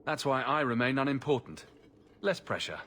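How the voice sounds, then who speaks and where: An actor playing an easygoing adventurer hero in a video game, with a wry, understated delivery. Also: male voice, medium pitch, animated, moderate pace, pushed and bright. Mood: wry, joking. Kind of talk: video game cutscene acting.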